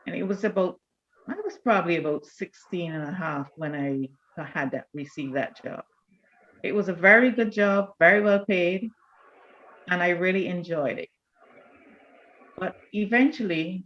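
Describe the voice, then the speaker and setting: An older woman, reminiscing in a measured, deliberate way as an interview guest. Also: on the low side, a bit bright, muffled recording. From Barbados. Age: older, around 70. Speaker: church elder, interview guest.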